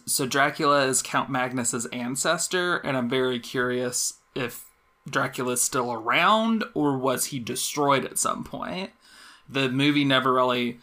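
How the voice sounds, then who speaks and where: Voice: mid-range voice, bright, forceful tone. A podcast co-host chatting casually and analytically about a film, in a conversational, thinking-aloud way.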